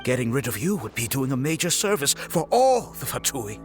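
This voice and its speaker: A man's voice: mid-range voice, big pitch swings, brisk, music in the background, close to an everyday voice. An actor playing a smug, scheming young male villain in a video game, theatrical and gloating, drawing out his emphasis. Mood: bitter ambition.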